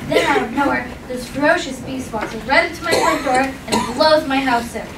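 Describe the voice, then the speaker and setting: A woman's voice: sits high, moderate pace. A woman playing a melodramatic, whiny pig giving pleading testimony in a fairytale courtroom comedy on stage. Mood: terrified. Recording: echoey stage, far off, loud audience noise.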